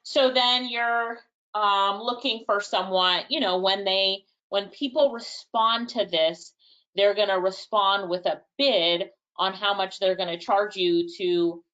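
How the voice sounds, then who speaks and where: A woman slowly teaching a live webinar. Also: mid-range voice, steady tone, fairly bright tone, muffled recording. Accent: Jamaican. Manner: casual, chatty, thinks aloud, laughs lightly. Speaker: webinar presenter. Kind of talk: live online workshop, answering chat questions.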